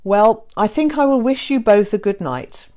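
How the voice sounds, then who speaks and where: A woman's voice: medium pitch, animated, moderate pace, mellow, voice pushed up, close to an everyday voice. A woman voicing a hearty, elderly English colonel in an audiobook, bluff and jovial with old-fashioned exclamations. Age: older. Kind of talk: audiobook character reading.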